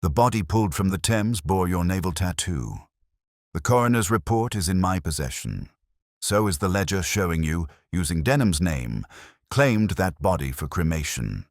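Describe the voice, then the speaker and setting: A male narrator acts out a cool, incisive Victorian detective from a storybook mystery. His voice is very low, yet it rises and falls in animated sweeps. He speaks formally and deliberately, as one who commands the room. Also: no room sound, studio quality. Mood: pressing, incisive. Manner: deliberate, formal, accusatory speech.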